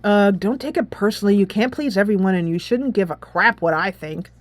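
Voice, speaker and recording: A woman's voice: medium pitch, fairly quick, no echo, close to an everyday voice. A woman puts on a sullen, scornful teenage girl for a visual novel game. She drawls dismissively, in a close, dry recording with faint music behind. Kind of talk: visual novel character read aloud.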